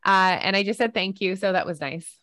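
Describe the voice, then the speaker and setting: Excitable woman podcast co-host. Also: medium pitch, a little fast. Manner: teasing, excitable, lots of "like". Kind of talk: casual podcast chat.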